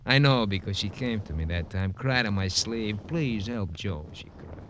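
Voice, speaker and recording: An actor playing a slick, smug American hoodlum gone respectable, talking in a mocking, theatrical way in a 1950s radio crime drama. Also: male voice, on the low side, animated, normal speed, fairly bright tone. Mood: feigned innocence. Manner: theatrical, mocking, mock-innocent wordy talk. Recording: noisy vintage radio recording.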